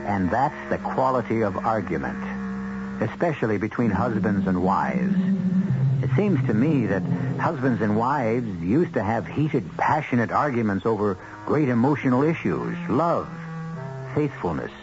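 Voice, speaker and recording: A man's voice: fairly low pitch, sing-song, measured pace. A man hosting and narrating a radio drama, delivering a scripted introduction in a dramatic, wry, rhetorical manner. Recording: dull old radio broadcast, loud music. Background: music.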